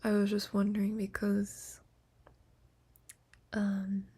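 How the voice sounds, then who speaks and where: Softly whispering young woman, gravelly. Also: mid-range voice, monotone. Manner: soft unvoiced whisper, slow and breathy. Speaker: streamer. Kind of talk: whispered sleep stream with soft singing. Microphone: laptop microphone. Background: guitar.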